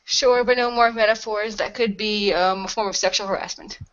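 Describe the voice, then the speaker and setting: A woman's voice: medium pitch, fairly even intonation, normal speed, fairly bright tone, natural voice. A woman playing a flippant, slangy ghost hunter in an improvised role-play, joking and rambling casually. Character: flippant, deadpan female ghost hunter preferring the dead. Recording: slightly dull voice chat audio.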